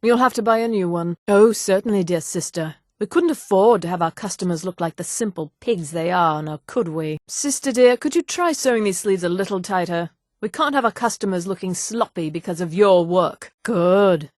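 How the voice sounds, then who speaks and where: Woman playing a haughty high elf lady, low and dry. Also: normal speed, hardly any pauses, no echo, natural voice. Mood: snide, condescending. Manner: haughty, condescending, precise and polished. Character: haughty, refined female high elf townswoman and shopkeeper. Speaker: video game character. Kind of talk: scripted game dialogue.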